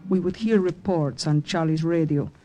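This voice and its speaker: An actress as a brave young woman spy in an old radio drama. Her voice is guarded and on the low-key side of melodrama, telling her wartime story with music behind her on an old recording. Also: normal speed, natural voice. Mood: reflective, tense. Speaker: lead actress and narrator. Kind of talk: 1950s radio drama acting, narrating and in dialogue.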